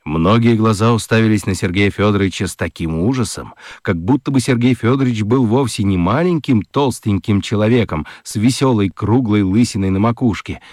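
A man narrating a scripted children's story for a radio play, expressive and fond of dramatic pauses. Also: lowish voice, dry recording, studio quality.

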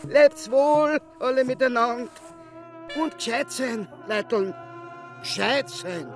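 An actor with an Austrian accent playing a sly, boastful small-time swindler in a radio play, theatrical, gloating and mock-courteous. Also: male voice, extremely high pitch, slow pace, soft background music, heavily acted.

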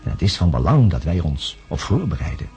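An actor playing a reflective man who studies the human mind, narrating a radio play in a solemn, contemplative way with dramatic pauses. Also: extremely low pitch, big pitch swings, warm tone, soft background music. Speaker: narrator recounting events.

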